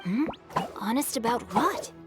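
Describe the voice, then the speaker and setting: A woman's voice: a little high, lively intonation, measured pace, loud music behind the voice. A woman voicing a polite, bookish, articulate teenage boy in a video game. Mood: evasive. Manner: articulate, courteous, earnest. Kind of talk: video game character acting.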